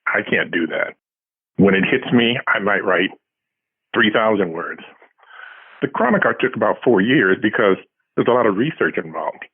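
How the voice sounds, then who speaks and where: A man's voice: fairly low pitch, lively intonation, moderate pace, long pauses, husky. A man answering as an interviewed author, telling casual anecdotes with dry, self-deprecating humour. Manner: self-deprecating, dryly humorous. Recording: tinny phone-like line.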